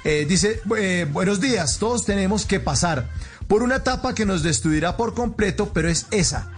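A man's voice: high voice, lively intonation, normal speed. A jovial man with a Colombian accent, hosting a light-hearted radio chat show. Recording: music playing behind the voice. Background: music.

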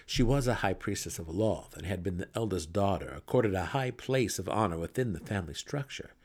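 A man reading a fantasy audiobook as a dramatic narrator, with theatrical, deliberate emphasis. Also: low voice, lively intonation, a little mellow.

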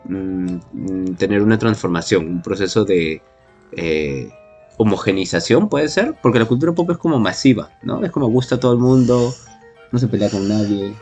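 A man with a husky voice co-hosting a podcast, chatting casually and reflectively, hesitating as he thinks aloud. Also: on the low side, lively intonation, moderate pace, darkish tone, soft background music. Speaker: podcast co-host.

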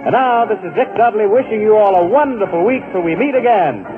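An old, dull-sounding radio broadcast with clear music behind. A high-voiced man reads a scripted sign-off as a 1940s radio announcer. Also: animated, brisk.

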